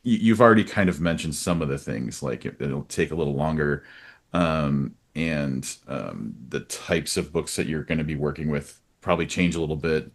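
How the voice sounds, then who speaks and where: Very deep-voiced man hosting an interview. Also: moderate pace. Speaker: interviewer, host. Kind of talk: casual conversational interviewing.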